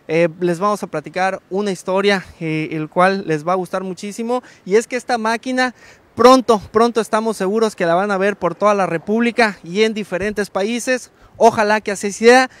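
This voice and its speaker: A man's voice: high-pitched, a bit bright, no room sound. A man in his thirties hosting a street interview by the sea, joking and upbeat, speaking into a handheld microphone. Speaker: reporter and host. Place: seaside street outdoors in sunshine.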